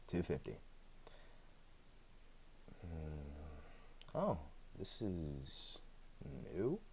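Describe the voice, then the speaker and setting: A man acts out an immortal man with a very deep voice in a roleplay audio drama. He is chatty and a little sheepish, dodging and hesitating as he talks, with a dry sense of humor. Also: darkish tone, full character voice. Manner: conversational, hesitant, with frequent chuckles and dry humor. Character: chatty, sheepish, evasive immortal man, freshly back from death. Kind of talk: ASMR roleplay audio drama, one side of a conversation.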